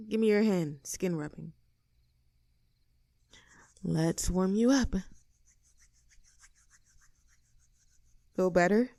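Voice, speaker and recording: A woman playing a creaky-voiced, caring young tomboy. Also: mid-range voice, warm tone, dry recording, studio quality, lightly acted. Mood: worried, caring. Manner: casual, teasing, tender, halting when flustered. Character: easygoing, caring young tomboy friend with a secret crush. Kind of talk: intimate scripted audio roleplay.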